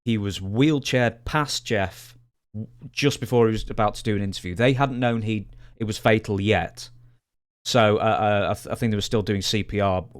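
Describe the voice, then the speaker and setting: Smooth, low-voiced man hosting. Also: moderate pace. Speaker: podcast host, interviewer. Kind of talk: conversational podcast hosting.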